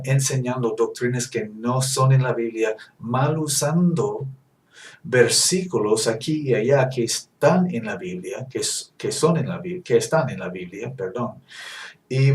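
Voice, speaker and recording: A man whose first language is English delivers a teaching sermon, earnest and halting, often correcting himself mid-phrase. His voice sits at an ordinary pitch. It is recorded in a roomy, echoing space from some distance off the mic. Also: expressive, darkish tone. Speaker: preacher. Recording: echoey room, distant mic.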